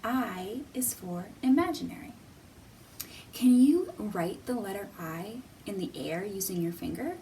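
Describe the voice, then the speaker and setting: A woman hosting a children's storytime, speaking in simple, deliberate, teacherly phrases with pauses and questions for young viewers. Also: mid-range voice, animated, warm tone, distant mic, slight background noise. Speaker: children's library storytime host.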